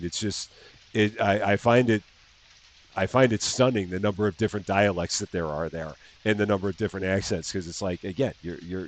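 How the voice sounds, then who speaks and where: An American man with a fairly low voice hosts a relaxed livestream chat. He rambles, restarts sentences and repeats words. His humor is self-deprecating, and his tone stays easygoing. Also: low-quality recording. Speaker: channel host.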